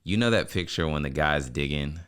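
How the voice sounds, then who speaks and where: Very deep-voiced man hosting a podcast. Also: animated, normal speed, a bit bright, clean tone. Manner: conversational, frequent "you know what I'm saying" check-ins. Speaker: podcast host. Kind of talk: casual podcast interview.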